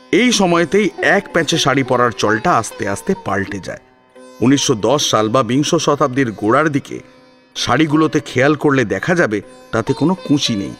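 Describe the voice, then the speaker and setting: Expressive man narrating over music. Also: mid-range voice. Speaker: audio story narrator. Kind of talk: scripted story narration with expressive delivery. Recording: music behind the voice. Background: instrumental music with plucked strings.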